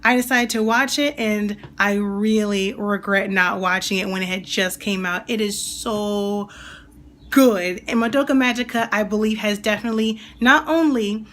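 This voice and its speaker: A young woman with a slightly raspy voice, still getting over a cold, chatting enthusiastically and rambling as she reviews an anime. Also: mid-range voice, fairly even intonation, moderate pace, a bit bright. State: recovering from a cold. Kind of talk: casual video review.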